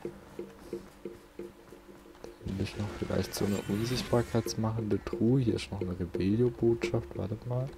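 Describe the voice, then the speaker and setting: A man hosts a let's play in a low, gravelly voice. He comments casually and mutters to himself as he goes, with game music clearly behind him. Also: steady tone, measured pace, pauses often.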